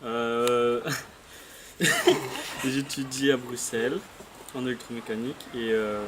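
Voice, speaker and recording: A young student from Belgium answering interview questions in short, halting phrases. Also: male voice, mid-range voice, level tone. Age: young adult. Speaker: student volunteer. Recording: loud outdoor noise.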